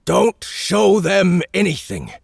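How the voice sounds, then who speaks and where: A man voicing a huge, shrewd male baron who speaks slowly and commands the room, in a dry close recording. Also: high voice, sing-song, gravelly, slightly rough, dry recording, pitched above the performer's own voice, heavily acted. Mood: commanding. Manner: slow, measured and domineering.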